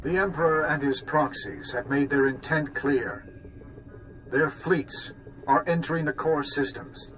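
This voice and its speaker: An actor playing a grave, righteous leader making a solemn, oratorical speech of war in a video game. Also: male voice, fairly high pitch, unhurried, noisy background, lightly acted. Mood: solemn, indignant. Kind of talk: formal speech in game cutscene.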